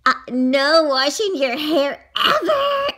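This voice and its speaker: A woman voicing an excitable, mischievous little girl who tells a children's story, gushing and gasping and stretching out her words. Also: high-pitched, slow pace, full character voice. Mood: gleeful excited.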